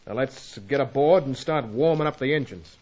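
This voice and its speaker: An actor playing a gruff, money-minded American seaplane pilot in an adventure radio serial, slangy, curt and callous. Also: male voice, mid-range voice, animated, normal speed, a little mellow, lightly acted. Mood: impatient. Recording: dull lo-fi audio with engine noise.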